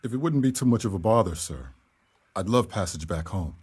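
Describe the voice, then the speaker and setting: An actor playing a polite, warm-hearted village man in a Regency audio drama, courteous and deferential. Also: lowish voice, expressive, brisk, breathy edge. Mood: grateful, hopeful.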